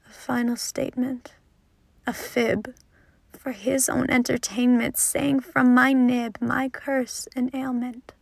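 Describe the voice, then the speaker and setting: A woman's voice: fairly high pitch, flat delivery, takes it slowly, creaky, no room sound. A fourteen-year-old girl poet introducing and reciting her own poetry in an earnest, dramatic manner. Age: teenager, fourteen.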